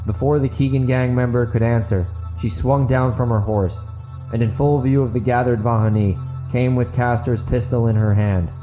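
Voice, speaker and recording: A man narrating and hosting an audiobook podcast, with earnest, deliberate phrasing. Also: mid-range voice, steady tone, dark tone, soft background music, rough recording. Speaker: podcast host, author and narrator.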